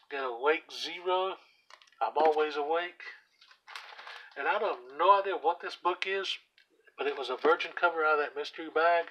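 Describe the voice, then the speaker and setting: A hoarse man casually walking through his comic book collection, reading out titles with offhand asides while he sits on the floor at home. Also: on the high side, a bit bright, dull audio, slight background noise. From US South. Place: indoors at home, seated on the floor by a shelf. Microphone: camera aimed at the shelf, speaker off screen.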